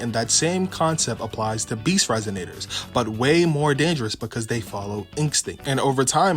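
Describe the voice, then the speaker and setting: A man narrating a video game story recap in a casual, blunt, informal way. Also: medium pitch.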